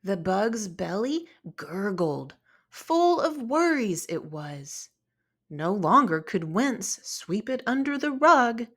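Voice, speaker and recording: A woman reading a children's story aloud in an expressive, deliberate narrating style. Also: medium pitch. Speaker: storybook reader.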